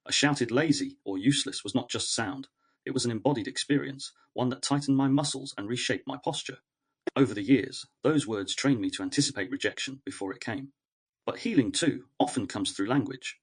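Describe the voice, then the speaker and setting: Male narrator, husky mid-pitched voice, for reflective educational essays; measured, earnest, deliberate phrasing. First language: English.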